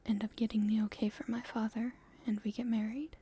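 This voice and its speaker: Woman acting a breathy, whispering young princess. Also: a little high, level tone, brisk, dark tone. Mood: soft, confiding. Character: playful young princess, tender, teasing, secretly in love. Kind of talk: intimate ASMR romance roleplay to the listener.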